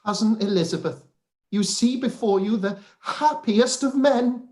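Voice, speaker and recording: A woman playing a pompous, self-satisfied clergyman in a period radio play, with drawn-out formal delivery. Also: a little high, a little mellow, lightly acted. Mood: smugly delighted.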